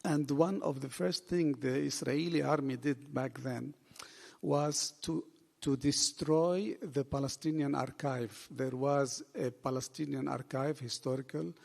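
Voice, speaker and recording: A man in his forties, raised in Lebanon, speaking at a panel in an earnest, halting way with many fillers. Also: mid-range voice, steady tone, vocal fry. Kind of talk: panel talk at a book event. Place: bookshop event room. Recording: long hall reverb.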